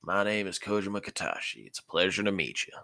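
Man voicing a folksy young farmer, gravelly. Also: deep voice, big pitch swings, fast talker, sharp tone, dry recording, studio quality, voice pushed up, full character voice. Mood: friendly. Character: friendly, folksy young male cattle farmer.

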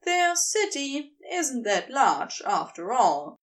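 Slow, expressive woman narrating a story. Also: high voice, distant mic. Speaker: podfic reader.

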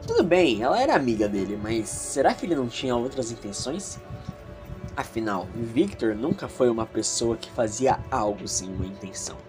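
A man's voice: mid-range voice, big pitch swings, normal speed, music in the background. A man with a Brazilian accent narrating an audio drama in a theatrical, confiding way with chatty asides.

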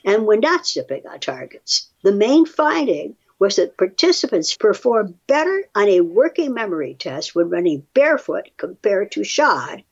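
Older woman, animated pitch, slightly husky. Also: normal speed, hardly any pauses. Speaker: guest reading and commenting on research studies. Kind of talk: reading aloud and casual explanatory chat. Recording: slightly dull, muffled sound.

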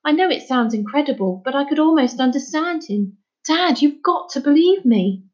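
Excitable storybook boy, played by a woman: pitched up, earnest, pleading, insistent, slightly dull recording. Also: normal speed. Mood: excited, urgent.

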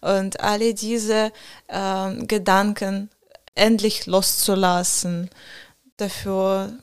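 A young woman, not a native German speaker, chatting casually as a podcast guest and often pausing to search for words. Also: mid-range voice, level tone, clean tone. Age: young adult. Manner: searching for words, many fillers, non-native phrasing. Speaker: guest, college student.

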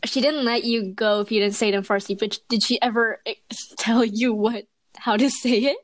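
A young woman telling animated stories in casual chat, laughing often. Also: a little high, moderate pace, no echo.

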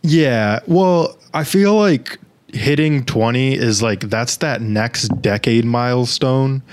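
A man's voice: medium pitch, slow, smooth. Laid-back young man co-hosting. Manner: laid-back, loose, swears casually. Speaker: podcast co-host. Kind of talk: casual chat.

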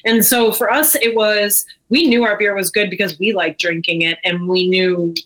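A woman with a medium-pitched voice talks as a guest in an interview. She is a business co-founder telling her story in a casual, conversational manner. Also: steady tone, normal speed, a bit bright, poor audio.

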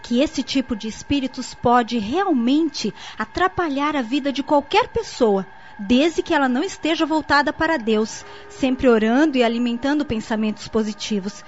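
A woman's voice: high-pitched, lively intonation, moderate pace, hardly any pauses. A woman playing an earnest young niece in a radio soap opera, explaining things in an articulate, didactic way. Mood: earnest, explanatory. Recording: muffled lo-fi audio with faint noise.

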